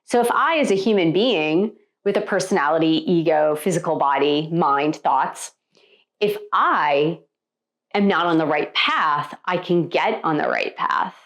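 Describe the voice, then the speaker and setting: A woman's voice: low-pitched, measured pace, a bit bright. An American woman speaking as a spiritual teacher in an emphatic, conversational way, addressing her viewers directly with motivational guidance. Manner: emphatic, conversational, with rhetorical check-in questions. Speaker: spiritual teacher and meditation coach.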